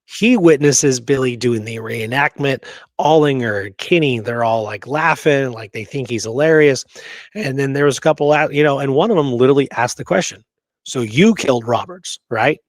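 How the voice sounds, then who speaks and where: A man's voice: medium pitch, moderate pace, no room sound, studio quality. A man casually telling and discussing history as a show co-host, emphatic and often checking in with "right?".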